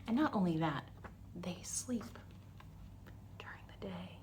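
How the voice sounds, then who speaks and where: A woman's voice: fairly low pitch, animated, takes it slowly, background noise. A woman hosting a story time for young children, explaining things in simple, instructional phrases.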